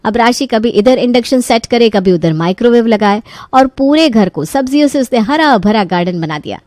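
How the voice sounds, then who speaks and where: A woman's voice: a little high, expressive, moderate pace, darkish tone, no room sound. Woman, story narrator, scripted storytelling, dry studio recording.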